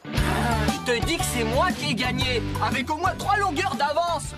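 Slow-paced, high-voiced mischievous youngster. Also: female voice, animated. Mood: argumentative. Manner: boastful, squabbling. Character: childish, competitive, mischievous young cartoon sidekick. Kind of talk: children's cartoon dub acting. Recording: music bed behind.

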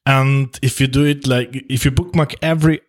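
A man's voice: medium pitch, steady tone, normal speed. A man with a German accent hosting a podcast, chatting casually and often restarting his words. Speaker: podcast host. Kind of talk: casual chat. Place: small office room indoors.

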